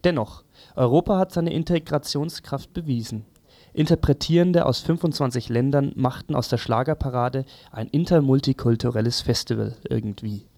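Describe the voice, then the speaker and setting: Gravelly sardonic man reading radio commentary. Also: mid-range voice, normal speed, dark tone, dry recording. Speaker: radio press review presenter. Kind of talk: scripted satirical commentary.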